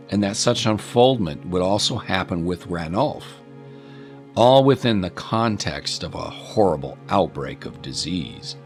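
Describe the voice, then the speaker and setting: A man narrating a scripted story in a measured, literary storytelling manner. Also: lowish voice.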